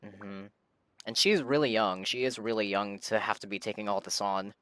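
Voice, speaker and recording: A man's voice: on the low side, steady tone, moderate pace, a bit bright, clean tone, no room sound. A man live-streaming a video game, giving casual reactions and reading game text aloud. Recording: game music behind the voice.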